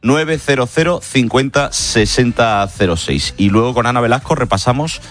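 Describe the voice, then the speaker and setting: A man presenting on a morning radio show, chatty and full of quick asides as he previews upcoming segments. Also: medium pitch, lively intonation, fairly bright tone.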